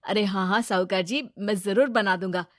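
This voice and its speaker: A woman's voice: mid-range voice, fairly even intonation, moderate pace, no echo, studio quality, lightly acted. A woman voicing an honest, polite young male cart seller in a cartoon story, obliging and a little hesitant.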